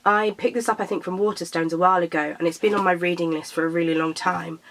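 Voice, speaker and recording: Young woman, slightly croaky, chatty, self-correcting, casual vlog talk. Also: lowish voice, fairly even intonation, fast, a little hiss or hum, rough recording. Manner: chatty, self-correcting, stumbles over titles. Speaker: book vlogger. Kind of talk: casual chat to camera.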